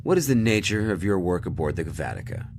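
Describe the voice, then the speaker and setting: A man's voice: low-pitched, sing-song, quick pace, a little mellow, slight background noise. A man playing a commanding spaceship captain in a science fiction audio drama, interrogating in a clipped, formal, authoritative way. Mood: measured, probing.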